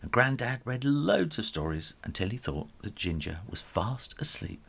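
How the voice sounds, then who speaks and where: A man reading a children's story aloud in an animated, dramatic, drawn-out storyteller's manner. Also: mid-range voice, gravelly, slightly rough.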